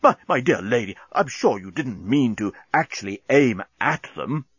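A man's voice: mid-range voice, lively intonation, moderate pace, a bit bright, slightly rough, no echo, dull audio. A man voicing a stern, indignant starship captain with a pompous, formal manner in a storybook.

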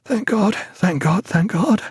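A man voicing a terrified, feverish sick gentleman who pleads in distress. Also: high-pitched, animated, slow, slightly rough, no room sound, studio quality, voice pushed up, full character voice.

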